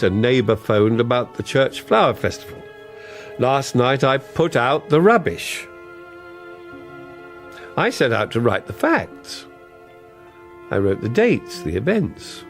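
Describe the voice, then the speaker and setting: An actor playing a reflective older English barrister, measured, clipped and wistful, in a radio drama. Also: male voice, medium pitch, close to an everyday voice. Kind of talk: radio drama acting, introspective monologue and dialogue.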